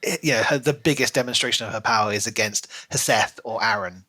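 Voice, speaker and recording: Bright-voiced man chatting casually. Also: fairly low pitch, quick pace. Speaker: podcast co-host. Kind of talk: casual book discussion.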